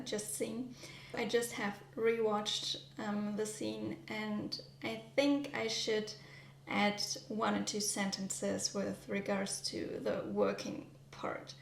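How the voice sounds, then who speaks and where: A German woman with a German accent, vlogging in a gentle, hesitant way with frequent ums while baking in her home kitchen. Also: mid-range voice, creaky, reverberant, slight background noise. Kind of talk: soft-spoken vlog narration.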